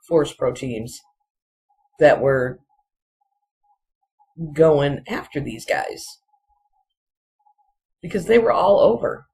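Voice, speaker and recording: Casual solo commentator, medium pitch, unhurried and halting, insistent repetition, rambling delivery. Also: a little mellow. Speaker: video commentator.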